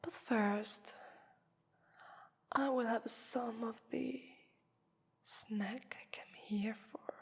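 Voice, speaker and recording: A woman with an Italian accent voicing a haughty, taunting vampire mistress in an ASMR roleplay, breathy, condescending and often whispering. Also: on the high side, steady tone, very slow, pauses often, full character voice. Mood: predatory, deliberating. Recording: long reverberant echo.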